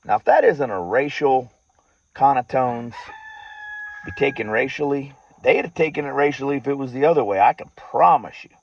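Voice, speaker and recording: Male, mid-pitched, indignant and emphatic monologue, repeats phrases for weight, dull recording. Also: very animated, moderate pace, vocal fry. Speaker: farmer and activist speaking to followers. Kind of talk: personal monologue to an audience, political grievance. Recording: dull lo-fi audio.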